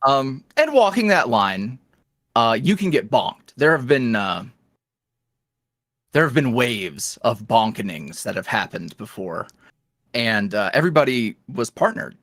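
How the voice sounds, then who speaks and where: A man's voice: medium pitch, very animated, measured pace, a bit bright, dry recording, studio quality. A man speaking as a podcast guest in casual interview chat, with a wry, self-deprecating, rambling manner. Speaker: podcast guest, ASMR roleplay creator.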